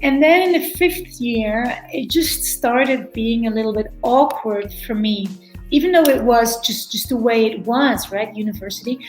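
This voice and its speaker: A woman's voice: fairly high pitch, moderate pace, a little mellow. A middle-aged woman from Belgium, a guest in an interview who often repeats words and restarts. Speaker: guest, veterinarian and animal chiropractor. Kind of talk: casual interview talk. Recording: echoey room, faint music.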